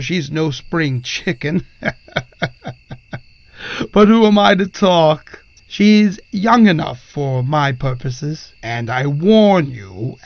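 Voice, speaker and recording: An actor playing an ancient spirit in a possessed body, speaking with formal, archaic, menacing grandeur in a horror audio drama. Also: male voice, on the high side, creaky, dry recording, highs cut off, heavily acted. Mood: mocking, gloating. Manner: formal, archaic, theatrical diction.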